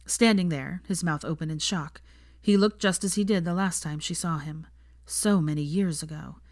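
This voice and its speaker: Woman narrating a story, low and dry. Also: moderate pace, no room sound. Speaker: fan fiction reader.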